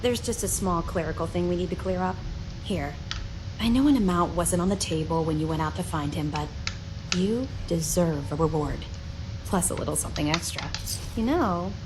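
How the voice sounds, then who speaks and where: Low-voiced, friendly actress as detective's secretary. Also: female voice, moderate pace, natural voice. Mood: friendly, grateful. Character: friendly, caring female detective agency secretary. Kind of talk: video game voice acting. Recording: noisy game ambience. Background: background noise and ambience.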